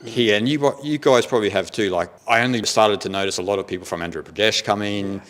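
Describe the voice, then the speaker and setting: A man's voice: fairly low pitch, fast, fairly bright tone. A man hosting a documentary interview in a casual, chatty way, with plenty of fillers like "like" and "you know". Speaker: documentary host.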